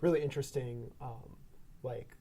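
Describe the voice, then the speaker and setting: Man, podcast guest, halting casual talk, dark soft tone, faint background noise. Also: medium pitch, fairly even intonation. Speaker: guest sound designer. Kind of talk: casual discussion.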